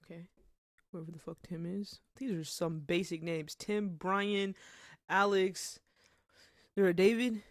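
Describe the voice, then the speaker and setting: A man's voice: high-pitched, fairly even intonation, unhurried, a little hiss or hum. A man giving casual, slangy, offhand reaction commentary with some swearing. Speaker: reaction video host.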